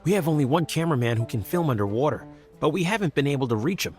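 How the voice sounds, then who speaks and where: A performer playing a worried, hurried film crew member in an audio drama. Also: medium pitch, animated, darkish tone, faint music behind, lightly acted. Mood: concerned.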